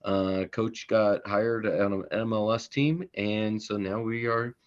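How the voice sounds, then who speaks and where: Low voice guy on a muffled lo-fi line, rambly podcast guest, lots of ums. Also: steady tone, slow. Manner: rambling, with fillers and laughs. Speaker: soccer chaplain guest.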